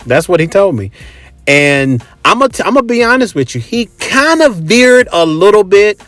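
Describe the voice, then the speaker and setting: A man's voice: high voice, big pitch swings, moderate pace, fairly bright tone, no echo, soft background music. An American man hosting a podcast in a casual, chatty monologue, talking colloquially and emphatically and repeating phrases for effect. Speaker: podcast host.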